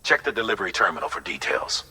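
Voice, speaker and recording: An actor voicing a gruff, businesslike commander in a video game, husky, with an urgent briefing manner. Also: male voice, low voice, big pitch swings, fast, heavy background noise. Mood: brisk, urgent. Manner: urgent, plain-spoken briefing. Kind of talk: video game cutscene acting.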